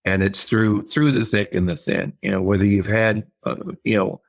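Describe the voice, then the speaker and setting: An older man, an author and writing teacher, talking in a casual interview, thoughtful and halting, with many fillers and repeated words. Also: on the low side, little pitch movement.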